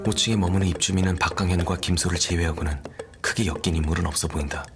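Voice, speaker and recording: A man acting a calm criminal profiler in an audio drama, speaking in a measured, grave, deliberate way. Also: low voice. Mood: brooding, analytical. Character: calm, brooding male criminal profiler working a murder case. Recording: music bed under the voice. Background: background music.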